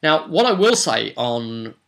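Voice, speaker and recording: A man's voice: mid-range voice, very animated, a little slow, vocal fry, a bit bright. A man giving an informal advice monologue as a game master and host, chatty and emphatic, often putting rhetorical questions to the listener.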